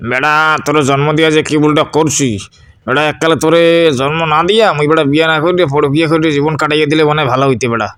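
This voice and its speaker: A man's voice: a little high, steady tone, rapid-fire, fairly bright tone, slight background noise, close to an everyday voice. A man voicing a grumbling, sarcastic village father in a comedy cartoon, scolding in a rural Bangladeshi dialect. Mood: exasperated. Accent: regional rural Bangladeshi. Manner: scolding, mocking, rustic dialect.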